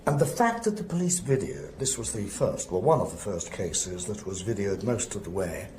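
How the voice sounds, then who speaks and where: An earnest, determined English lawyer in a radio drama. He is articulate and self-correcting, with lively pitch, and is heard in a roomy space with faint noise. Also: moderate pace. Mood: considered, earnest. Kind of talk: naturalistic radio drama acting.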